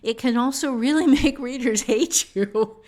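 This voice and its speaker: A woman's voice: a little high, a little slow, darkish tone. A woman novelist co-hosting a casual discussion of fiction writing, speaking emphatically and often with a chuckle in her talk. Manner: chuckles often while talking, emphatic repetition.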